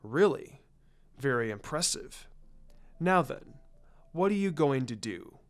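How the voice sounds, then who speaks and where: A man voicing a laid-back, teasing ninja teacher in a casual, unhurried, cool manner. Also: medium pitch, sing-song, pitched below the performer's own voice. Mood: calm, probing.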